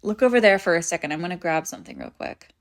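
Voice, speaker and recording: A woman's voice: fairly low pitch, big pitch swings, fast, vocal fry. Woman acting a clingy, emotional apocalypse survivor friend. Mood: quiet, uneasy. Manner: emotional, pleading, swinging between insistent and hushed. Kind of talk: scripted audio roleplay acting, intimate and conversational.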